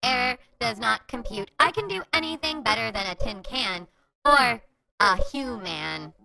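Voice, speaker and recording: A woman's voice: a little high, animated, measured pace, creaky, poor audio. A woman playing a literal-minded, boastful robot with stilted, programmed phrasing in a comedic audio drama. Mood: stubborn, boastful. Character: literal-minded, boastful female robot, later police detective. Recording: music behind the voice.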